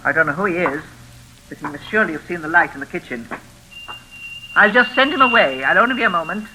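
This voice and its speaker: An actress playing a deranged older mistress of a lonely house in a 1940s radio thriller, with genteel, deliberate menace. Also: female voice, fairly low pitch, lively intonation, natural voice. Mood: quietly threatening. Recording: crackly 1940s radio broadcast.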